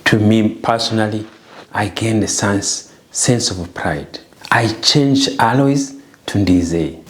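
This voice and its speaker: Casting: middle-aged man from Rwanda, slightly low voice, earnest and emphatic, repeats lines for weight. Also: slow. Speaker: vlogger. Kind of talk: personal message to camera.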